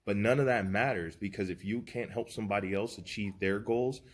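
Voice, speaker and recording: A man's voice: fairly low pitch, fairly quick. A man in his early thirties talking earnestly and reflectively as a podcast co-host, giving motivational advice. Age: 30s. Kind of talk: casual podcast chat.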